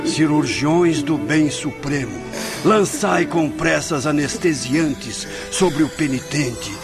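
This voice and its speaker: Loud music swells under a solemn prayer leader in a radio drama. His fairly high voice declaims slowly, with reverent compassion. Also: animated. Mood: reverent, imploring. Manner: slow, declamatory praying.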